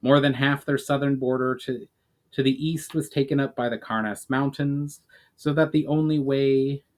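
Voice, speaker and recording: A man's voice: mid-range voice, steady tone, moderate pace, a little mellow. Man reading fantasy fiction dramatically. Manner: stumbles and rereads phrases. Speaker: author reading own novel. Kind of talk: dramatic audiobook-style narration of fantasy fiction.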